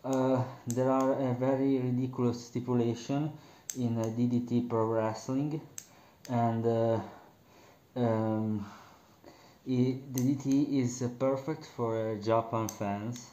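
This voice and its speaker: A man's voice: medium pitch, level tone, takes it slowly, dark tone. A young man from Italy with an Italian accent, hosting a casual wrestling talk in English, with many fillers and restarts. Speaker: wrestling commentary host. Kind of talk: casual solo talk to camera. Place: small bedroom, indoors. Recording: roomy, dull lo-fi webcam sound.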